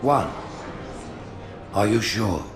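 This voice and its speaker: A low, imperious old alien pontiff who delivers judgment with cold solemnity. Orchestral music rises under each line. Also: male voice, sing-song, extremely slow, heavily acted. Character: imperious elderly alien high priest, male.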